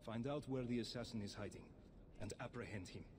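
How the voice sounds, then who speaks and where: An actor playing a stern official in a fantasy video game, speaking formally and gravely. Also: male voice, mid-range voice, normal speed, background noise, close to an everyday voice. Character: stern male official enlisting help to catch an assassin. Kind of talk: video game dialogue acting.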